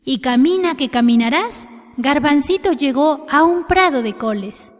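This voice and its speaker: High-voiced woman telling a children's story. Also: animated, a little slow. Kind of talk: expressive scripted storytelling for children. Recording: long hall reverb.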